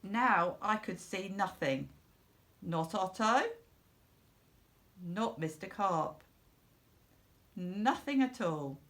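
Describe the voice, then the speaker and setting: A woman narrates a children's storybook at a slow, deliberate pace. Her voice has a noticeable creak, and she stresses phrases expressively for young listeners. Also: medium pitch, lively intonation, fairly bright tone. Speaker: storybook reader.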